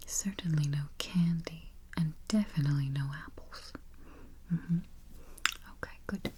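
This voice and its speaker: A woman doing a soft, breathy, mostly whispered ASMR roleplay as a politely morbid vampire travel agent, murmuring with dry understatement close to the microphone. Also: low voice, measured pace. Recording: faint hiss, close keyboard typing and clicks. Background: typing, clicking and gentle tapping.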